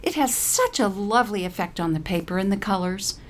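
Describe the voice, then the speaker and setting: A woman's voice: mid-range voice, lively intonation, a little fast, a little hiss or hum, voice pushed up, close to an everyday voice. Woman acting a polite, earnest hippie art teacher. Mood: earnest, flustered. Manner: polite, earnest, slightly flustered.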